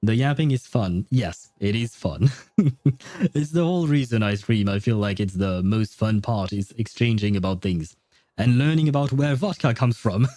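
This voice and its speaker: A man chatting casually on a livestream while gaming, with a halting, rambling, amused way of talking. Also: lowish voice, mellow, no echo.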